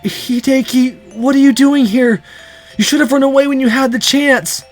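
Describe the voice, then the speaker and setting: A man's voice: very high-pitched, steady tone, moderate pace, soft background music, pitched above the performer's own voice. A man voicing a frightened, pleading teenage girl held hostage, in a visual novel read-aloud. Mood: frightened, urgent.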